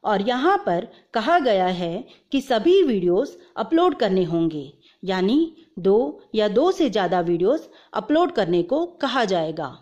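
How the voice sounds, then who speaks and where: A woman's voice: mid-range voice, sing-song, a little slow, a little mellow, dull audio. Woman doing a tutorial voiceover, very up and down intonation, explains step by step w english words mixed in. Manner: clear step-by-step explaining, mixing in English terms. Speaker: video presenter explaining instructions.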